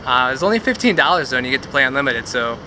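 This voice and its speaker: A man's voice: mid-range voice, very animated, rapid-fire, bright, forceful tone, slight background noise. A young man hosting a casual travel vlog, upbeat and joking, filmed on a camera at arm's length. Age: young adult. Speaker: travel vlogger. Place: outdoors on city streets and in a car. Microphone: camera held at arm's length.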